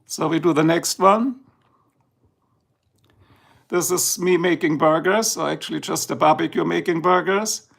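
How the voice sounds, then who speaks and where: A man with a German accent chats loosely through a livestream, drifting between topics and apologizing for slow speech. His voice sits a little high for a man and carries a gravelly, creaky edge. It sounds like a home setup aimed at a tablet. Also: fairly bright tone. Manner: rambling, chatty, slow and drowsy at times. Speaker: livestream host. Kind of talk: casual live-stream chat. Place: indoors, filming a tablet slideshow.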